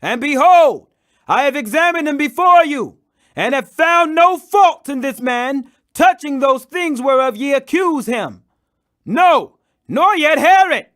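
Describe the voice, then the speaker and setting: Man playing a loud, high-pitched, insistent Roman governor. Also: lively intonation, measured pace, full character voice. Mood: firm, declaring. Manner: loud, declamatory, emphatic. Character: Roman governor, male, insistent, pleading authority.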